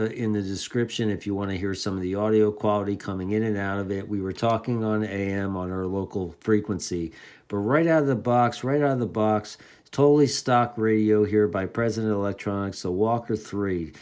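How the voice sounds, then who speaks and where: A man's voice: on the low side, fairly even intonation, normal speed, a little mellow. A man giving a casual, enthusiastic hands-on product review in informal hobbyist slang.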